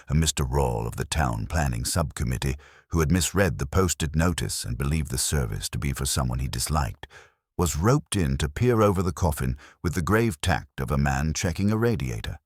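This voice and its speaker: Male narrator, very deep voice, lively intonation, droll, deliberate phrasing, scripted mystery storytelling. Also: breathy edge, no echo, clean studio sound.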